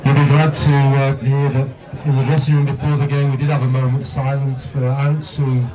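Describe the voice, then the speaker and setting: A man's voice: medium pitch, flat delivery, normal speed. A man, the team physio, handing out club ties at a post-match gathering with a joking, teasing manner. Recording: distant mic with loud crowd.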